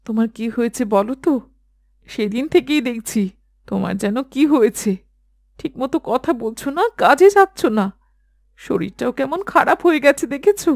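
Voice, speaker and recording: Concerned wife, insistent, highish pitch, dry studio sound, horror cartoon drama. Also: female voice, expressive, normal speed, creaky, darkish tone, dry recording. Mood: worried. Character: worried, insistent village wife.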